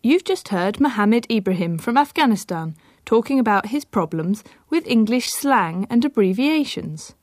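A woman radio presenter interviewing a learner and narrating in a clear, measured way. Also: fairly high pitch, lively intonation, a little mellow, no echo, clean studio sound.

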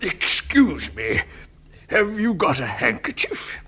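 A man's voice: on the high side, wildly swooping pitch, slow pace, bright, forceful tone, slightly rough, exaggerated voice. An actor playing a jolly older Father Christmas with a stuffy cold, bumbling along in a cartoon language lesson. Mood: befuddled.

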